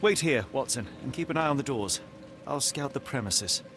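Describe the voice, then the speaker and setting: An actor playing a shrewd, self-assured British gentleman detective in a video game, speaking formally and probingly. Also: mid-range voice, expressive, normal speed. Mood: brisk, focused. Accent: British English. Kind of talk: video game cutscene acting. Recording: music behind the voice.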